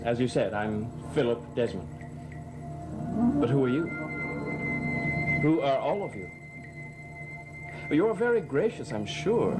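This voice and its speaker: A wry, puzzled young stranger. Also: male voice, mid-range voice, low-quality recording. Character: young man, puzzled newcomer at a mansion. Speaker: lead character. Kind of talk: 1960s TV soap acting. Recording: old TV soundtrack with loud music.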